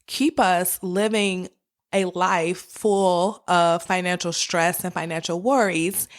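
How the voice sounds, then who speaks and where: A woman hosting a podcast as a conversational, encouraging coach, her voice a little raspy from a cold. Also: on the low side, fairly even intonation, slow pace. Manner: encouraging, direct address to listeners. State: has a cold with cough and sore throat. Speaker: podcast host. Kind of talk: personal finance podcast monologue, conversational coaching.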